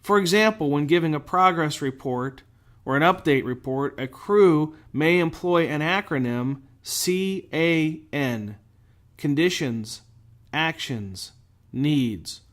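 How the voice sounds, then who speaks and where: A man delivering scripted instructional narration as a show host, speaking deliberately with clear enunciation and emphatic phrasing. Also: a little high, expressive. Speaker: host of a firefighter safety show.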